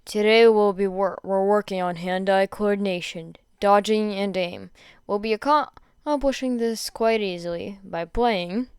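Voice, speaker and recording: A woman's voice: mid-range voice, moderate pace, smooth, no room sound, clean studio sound, lightly acted. Woman voicing a weary, drawling male teacher. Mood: droning. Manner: drawling, deadpan instruction. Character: weary, droning, dry male combat-class teacher.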